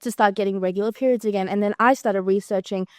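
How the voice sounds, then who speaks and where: A young Australian woman talks through her work in easy podcast chat, and her stories bounce along with little acted-out quotes. Her voice is smooth and clear, recorded close and dry with no room sound around it. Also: mid-range voice, fast talker, no echo. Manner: chatty, animated storytelling with acted-out quotes. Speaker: physiotherapist guest.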